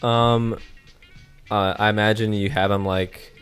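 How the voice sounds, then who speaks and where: A man's voice: fairly low pitch, little pitch movement, a little slow, a bit bright, smooth, soft background music. A young man in his twenties chatting casually on a podcast, hesitant, full of likes and ums, often laughing. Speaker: guest pitching a show idea.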